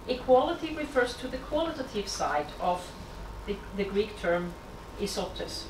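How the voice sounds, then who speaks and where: Norwegian woman lecturer, slightly high voice, measured reading from notes, distant mic in a reverberant hall. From Norway. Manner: measured, reads from prepared text with small self-corrections. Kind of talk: academic lecture. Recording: echoey hall from far off, background noise.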